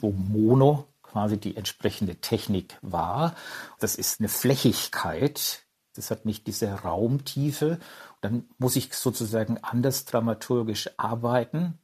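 A man's voice: fairly low pitch, unhurried, a little mellow, slightly rough. A man, a media historian, explaining in an interview, fluent and explanatory.

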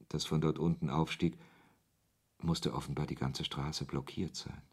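An actor playing a wry author who narrates a radio play in the first person, in a husky voice. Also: male voice, very deep voice, full character voice. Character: male author narrating his absurd encounter, wry and irritated.

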